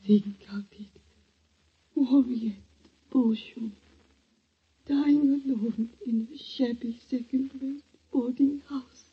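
Brief: dying old aunt, very husky whisper, slow and high, dull old radio sound. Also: female voice, steady tone, exaggerated voice. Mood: weak, pleading. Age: older. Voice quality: breathy, whispering. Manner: weak, tearful pleading. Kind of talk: 1940s radio acting.

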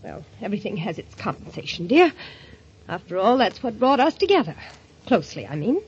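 An actress playing a devoted, anxious newlywed wife who coaxes and pleads tenderly, in old-time radio drama style. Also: female voice, medium pitch, sing-song, a little fast, a little mellow, natural voice. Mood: anxious, coaxing. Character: devoted, anxious newlywed wife, soothing her troubled husband. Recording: muffled, hissy old radio recording.

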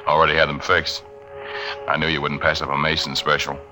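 A suave, earnest writer and lover with a very low voice. He speaks smoothly and persuasively, placating in vintage radio suspense style. Music runs strongly through the recording. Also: monotone, brisk, sharp tone, heavily acted. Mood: smooth coaxing. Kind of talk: 1940s-50s radio suspense acting.

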